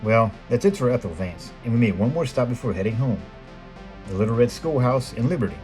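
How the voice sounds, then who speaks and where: A middle-aged American man reading a scripted voice-over in a measured, plain explanatory way, as the host of a photography video. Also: medium pitch, animated, darkish tone, rough recording. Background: background music.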